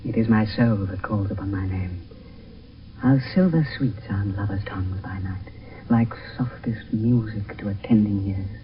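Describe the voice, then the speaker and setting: A man's voice: lowish voice, unhurried, faint music behind, close to an everyday voice. A British actor playing an ardent, dreamy young nobleman in love, with lyrical verse delivery in classical radio drama. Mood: adoring. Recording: dull, hissy old radio broadcast, distant.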